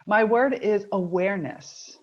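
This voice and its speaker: A middle-aged Cuban American woman with a Cuban American accent, speaking as an interview guest in a measured, reflective way about spiritual ideas. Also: mid-range voice, highs cut off. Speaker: mentor, guest. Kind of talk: interview conversation.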